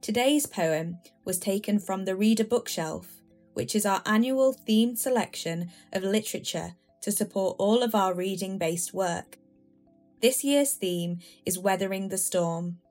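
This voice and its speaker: A woman's voice: mid-range voice, unhurried. A woman reading a scripted, informational podcast outro as an announcer. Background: soft instrumental music.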